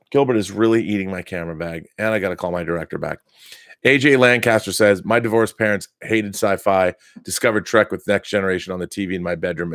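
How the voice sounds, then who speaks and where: Rambling, enthusiastic man with a low voice. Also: dry recording, clean studio sound. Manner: rambling, enthusiastic, frequent restarts and laughs. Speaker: host reading live chat messages. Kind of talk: casual live-stream chat.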